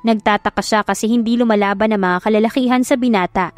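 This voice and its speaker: A Filipino woman narrates a scripted love story in a fairly high voice. Her delivery is dramatic, as in an audiobook. The recording is dry, with soft music behind her. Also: fast, no room sound.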